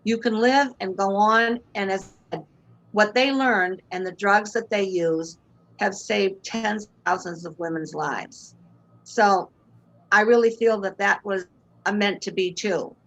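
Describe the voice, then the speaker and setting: An older woman with a Boston accent speaks over a webcam from a room at home. She tells her story earnestly and with strong faith, in a voice of ordinary middle pitch. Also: unhurried, fairly bright tone, poor audio. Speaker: guest. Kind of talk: personal testimony in interview. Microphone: video call webcam.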